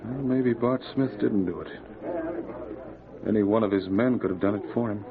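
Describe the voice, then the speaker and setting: An actor playing a calm, firm police sergeant in old radio drama style, speaking in a measured, deliberate way. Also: male voice, fairly low pitch, a crowd in the background, lightly acted. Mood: calm, probing. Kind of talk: 1950s radio drama acting. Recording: muffled, noisy old radio recording.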